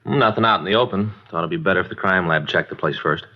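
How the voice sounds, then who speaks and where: Low, quick plain-talking police detective. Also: male voice. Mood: businesslike. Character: plain-talking, concerned male homicide detective partner. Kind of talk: 1950s radio drama acting. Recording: muffled old radio recording, faint noise.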